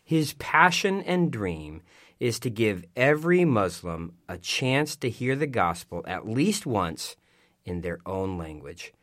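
A man's voice: on the low side, very animated, takes it slowly, a bit bright. A middle-aged man hosting a radio interview show, reading a scripted introduction.

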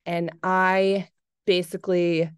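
A young woman with a slightly low, clean and smooth voice chats as a podcast host. She tells everyday stories casually and plays them up for comedy. The sound is close and dry. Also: level tone, slow pace, no room sound. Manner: chatty, exaggerating for comic effect. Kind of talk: casual personal storytelling.

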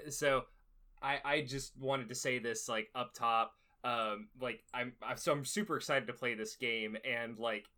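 Bright-sounding guy laughing his way through describing his character, lots of ums. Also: medium pitch. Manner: rambling and laughing a lot, with many fillers. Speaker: player in a tabletop game podcast.

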